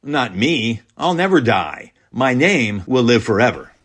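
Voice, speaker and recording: A man acts out a petulant, self-adoring strongman on the witness stand in a satirical radio play. He brags and rambles with very animated pitch, brushing everyone off. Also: unhurried. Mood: smug, defiant. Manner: bragging, rambling, dismissive. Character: boastful, petulant ex-leader on trial, a swaggering satirical braggart. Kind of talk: satirical radio drama acting.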